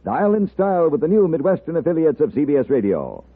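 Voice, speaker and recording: Animated American 1950s radio announcer man. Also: fairly high pitch, fast, husky, phone-quality sound. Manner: formal, polished, declarative. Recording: band-limited 1950s radio broadcast recording.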